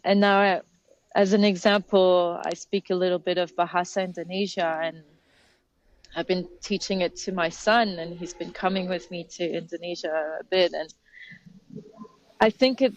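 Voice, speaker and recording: Soft-spoken woman, dry sound. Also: lowish voice, steady tone, no room sound, lo-fi sound. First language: French. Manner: gentle, unhurried, repeats words as she gathers thoughts. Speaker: guest, nature therapy educator. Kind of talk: reflective interview talk. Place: outdoors among trees.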